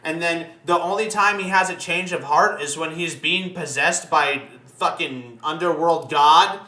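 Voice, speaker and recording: A man's voice: fairly high pitch, a little slow, bright, forceful tone. A man in casual opinionated chat as a podcast host, ranting emphatically and swearing often. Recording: echoey room.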